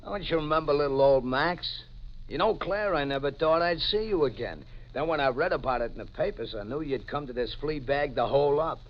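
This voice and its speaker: A man's voice: a little high, a little fast, natural voice. An actor playing a loyal small-time crook pal with wisecracking, familiar tough-guy slang in a 1940s radio mystery. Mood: cocky, familiar. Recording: dull, noisy 1940s radio recording.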